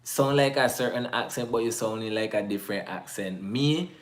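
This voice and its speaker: Animated man with Nicaraguan Creole accent. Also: medium pitch, normal speed. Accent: Nicaraguan Creole English. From United States, Bay Area, California. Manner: loose and animated, drifting into Creole patois. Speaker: host. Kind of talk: casual chat reacting to comments.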